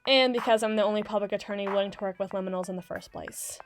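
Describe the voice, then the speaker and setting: A woman playing a brisk, sassy mermaid public attorney in a scripted ASMR roleplay, with a theatrical, sardonic, wordy delivery. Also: medium pitch, fairly even intonation, faint music behind, close to an everyday voice. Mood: proud. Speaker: voice actor in audio roleplay.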